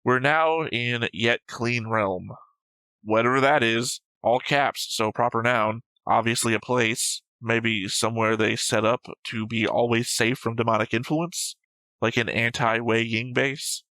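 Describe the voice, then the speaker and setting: A man's voice: mid-range voice, steady tone, measured pace, sharp tone, no room sound, rough recording. A man co-hosting a reaction show, chatting casually about a series in a flippant, argumentative way. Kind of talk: casual discussion of an anime series.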